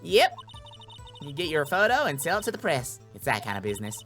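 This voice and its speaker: A man's voice: a little high, very animated, fairly quick, fairly bright tone, faint music behind. A man voicing a brash, folksy Southern woman photographer in a video game, with a slangy, boastful drawl. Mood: chatty.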